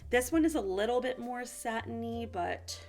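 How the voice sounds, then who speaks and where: A woman's voice: a little high, animated, moderate pace, a little mellow, soft background music. A woman chatting casually and informally to viewers as a beauty vlogger reviewing products, sounding a little sick and sniffly.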